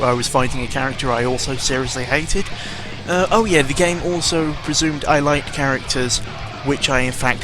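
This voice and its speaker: A young man ranting casually about video games, exasperated and full of fillers. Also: fairly high pitch. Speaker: gaming vlogger. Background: video game music.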